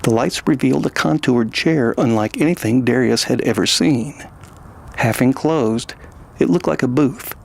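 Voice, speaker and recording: Male narrator, scripted sci-fi storytelling, creaky gravelly voice, measured, dramatic, mid pitch. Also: a little mellow.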